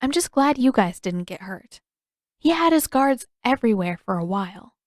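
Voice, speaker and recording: Woman voicing an exasperated teenage girl, high and emphatic. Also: animated, moderate pace, no echo, clean studio sound, lightly acted. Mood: reassuring. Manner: indignant, questioning, emphatic. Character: teenage girl, caring but exasperated and bossy.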